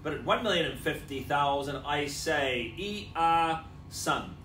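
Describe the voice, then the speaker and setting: Man, New Zealand accent, auctioneer, joking bid patter, somewhat high voice, roomy hall. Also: animated, unhurried, pushed and bright, soft background music. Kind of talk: live property auction calling. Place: large indoor auction room. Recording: roomy sound from a distant camera.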